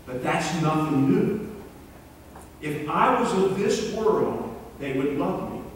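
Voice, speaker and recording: Man, middle-aged, pastor, sermon, earnest and measured, slightly high voice, distant mic, roomy church echo. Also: animated, a little hiss or hum. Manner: earnest, measured preaching with repeated words. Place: church sanctuary, large. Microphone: far camera in the pews. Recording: echoey sanctuary from far off.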